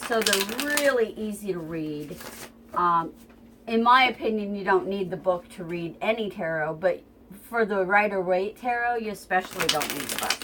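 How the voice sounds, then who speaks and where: A woman's voice: medium pitch, noisy background. Chatty stuffy-nosed woman reading tarot. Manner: chatty, rambling, many ums. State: stuffy, runny nose. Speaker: tarot reader. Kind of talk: casual weekly tarot reading. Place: at home near her kitchen. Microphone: camera on a tripod.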